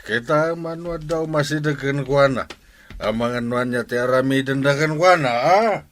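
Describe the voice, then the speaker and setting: A man's voice: mid-range voice, measured pace, a bit bright, slight background noise, close to an everyday voice. An actor playing a blunt, chummy drinking buddy who teases his friend in a comedy radio drama.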